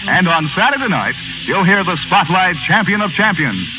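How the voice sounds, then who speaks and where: A man announcing on a 1940s radio program, smooth and polished, with an upbeat delivery. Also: sits high, big pitch swings, moderate pace. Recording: lo-fi 1940s radio broadcast with band music.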